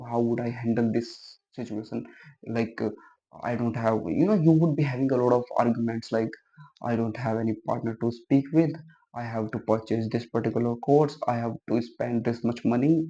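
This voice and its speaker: Male vlogger with a Hindi mother tongue, a level and even delivery, hesitant with lots of fillers, and dull lo-fi sound. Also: medium pitch. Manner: hesitant, many fillers and restarts, encouraging. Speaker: English-speaking vlogger. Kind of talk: casual talk to camera, giving advice.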